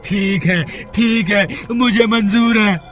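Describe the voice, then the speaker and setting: A man's voice: high-pitched, slow, a bit bright, soft background music. A man voicing a frightened village man in a horror cartoon, pleading in a frantic, whiny manner. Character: panicky, pleading unmarried village man fleeing a ghost. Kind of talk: Hindi horror cartoon character voice.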